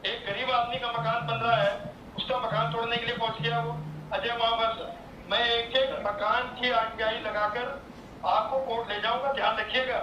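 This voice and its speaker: A man's voice: extremely high pitch, flat delivery, normal speed, recorded from a distance. A male legislator on a recorded phone call, insistently scolding and threatening the person on the other end. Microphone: phone call replayed through a speaker. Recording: muffled leaked phone call, echoey playback, noisy.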